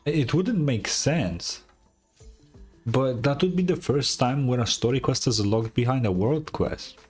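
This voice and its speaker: A woman's voice: very deep voice, animated, measured pace, darkish tone, slightly rough, soft background music. A woman streamer giving casual, offhand and blunt live commentary while reacting to a game.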